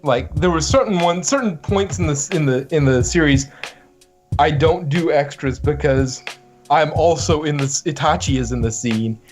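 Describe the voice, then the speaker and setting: Rambling, higher-pitched man in casual chat. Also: a little mellow. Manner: rambling, halting, repeats words. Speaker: guest voice actor on a fan podcast. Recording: music playing under the voice.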